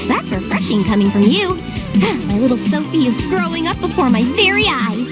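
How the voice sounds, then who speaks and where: A woman's voice: sits high, sing-song, moderate pace. A woman voicing a playful, enigmatic guide companion in a video game, fond and evasive. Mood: playful, pleased. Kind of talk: English video game voice acting. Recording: game music under the voice.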